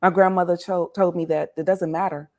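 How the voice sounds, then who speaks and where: A woman in her forties from Washington, D.C., telling her life story as an interview guest in a measured, reflective way, often easing down softly at the end of a thought. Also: fairly low pitch, steady tone.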